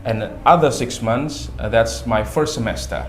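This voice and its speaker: A man who speaks natively, a guest in a casual interview chat, talking haltingly in English with lots of fillers and repeated words. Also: medium pitch, soft background music. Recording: echoey room.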